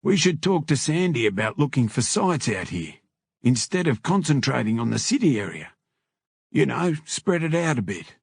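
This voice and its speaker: Man acting male biker, matey, blunt, slangy, husky, lively intonation, audiobook dialogue. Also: medium pitch, moderate pace, no echo, clean studio sound, voice pushed up, exaggerated voice. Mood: businesslike. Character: male biker club member, matey, blunt, rough-talking.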